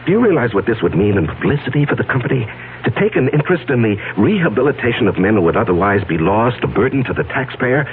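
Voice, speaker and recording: An actor playing an anguished businessman with a secret convict past in an old-time radio drama, halting and agitated. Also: medium pitch, very animated, lightly acted. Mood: eager, self-assured. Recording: muffled, noisy old radio broadcast recording.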